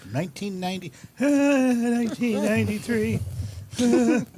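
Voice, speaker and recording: A man's voice: extremely high pitch, unhurried, warm tone. A man performing in a casual, conversational way as a guest in an audio play. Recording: faint background noise.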